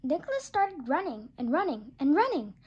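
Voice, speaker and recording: A woman reading a children's picture book aloud, gentle and expressive, slowing down for the story and putting on small voices for the animals. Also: high voice, lo-fi sound. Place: indoors at a table, turning a picture book.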